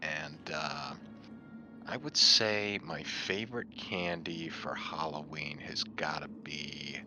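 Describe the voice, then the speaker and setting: A man's voice: rumbling low, slow, over music. A man chatting casually as a tabletop game player, hesitant and drawing out his thoughts. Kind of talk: casual chat.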